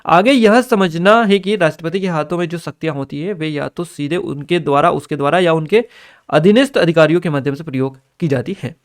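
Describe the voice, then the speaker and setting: Higher-pitched man teaching. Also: fairly quick. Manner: instructional, reads notes aloud, checks in with listeners. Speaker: exam-prep teacher. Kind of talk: exam paper analysis lesson, reading out questions and explaining answers.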